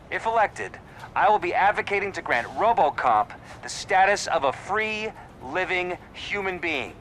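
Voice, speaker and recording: A man's voice: on the high side, fairly bright tone, a little hiss or hum. A man playing an earnest campaigning politician in a video game, speaking in a rallying, declamatory way.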